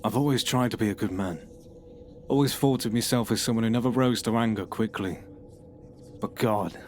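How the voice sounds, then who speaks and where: An actor playing a troubled man, giving a halting, brooding confession under questioning. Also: lowish voice, a little hiss or hum, close to an everyday voice. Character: troubled middle-aged man, paranoid and bitter. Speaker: suspect being questioned.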